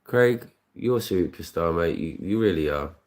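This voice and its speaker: A British man with a deep voice, casually chatting on his scratch card live stream, thanking viewers by name and rambling through asides. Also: expressive, normal speed.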